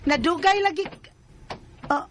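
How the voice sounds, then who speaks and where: A woman's voice: extremely high pitch, measured pace, over loud music. A woman acting an anguished, betrayed live-in partner and mother in a Filipino radio drama, plaintive and emotional. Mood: troubled.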